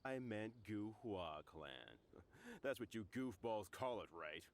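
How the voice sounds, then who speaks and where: A man's voice: fairly low pitch, sing-song, slow, full character voice. A man voicing a sneering, bullying thug in a video game, mocking and laughing at his target. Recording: game audio with faint ambience.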